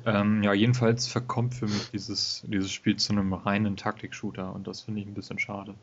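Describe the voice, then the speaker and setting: A man co-hosting a casual gaming podcast, talking in a relaxed, hesitant way with many fillers. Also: on the low side, moderate pace, husky. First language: German. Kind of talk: casual game review chat. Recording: dull lo-fi home recording.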